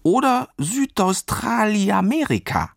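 A man's voice: high voice, sing-song, slow, vocal fry, no echo, clean studio sound, pitched above the performer's own voice, heavily acted. A man voicing an eager, adventurous female sheep playing pirate in a children's story, bold and exclamatory. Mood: keen.

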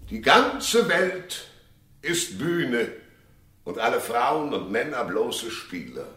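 A man's voice: mid-range voice, very animated, slow, a bit bright. An actor playing a pompous theatre man who declaims grandly from an echoing stage, in a radio drama. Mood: grand, declamatory.

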